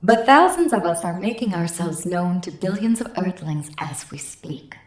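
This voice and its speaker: Haughty, lowish-voiced alien woman acted by a woman, roomy sound. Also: animated, natural voice. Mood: aloof. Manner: haughty, formal, unhurried pronouncements. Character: calm, condescending female alien visitor in human form. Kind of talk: radio play acting. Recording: roomy, echoing voice recording.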